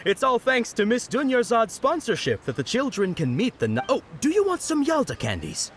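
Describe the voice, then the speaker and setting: High-voiced, hearty festival knight. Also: male voice, huge pitch swings, normal speed, slight background noise, full character voice. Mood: friendly, salesmanlike. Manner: hearty, theatrical showman. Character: jovial male festival knight performer entertaining children. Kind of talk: video game character acting.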